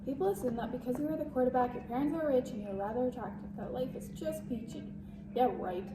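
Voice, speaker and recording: Woman playing earnest romantic high school jock boy, stage monologue, echoey and loud background noise. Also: fast talker, lightly acted. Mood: resentful. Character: sincere, pressured teenage football quarterback, a romantic boy. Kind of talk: amateur dramatic monologue reading. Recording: echoey, distant, very noisy room.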